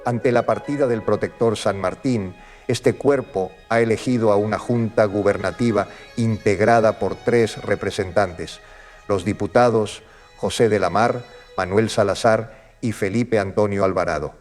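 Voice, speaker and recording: A man's voice: fairly low pitch, a little slow, darkish tone, soft background music, lightly acted. An actor playing a solemn clergyman who presides over a congress, speaking formally and oratorically in a historical audio drama. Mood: solemn, official.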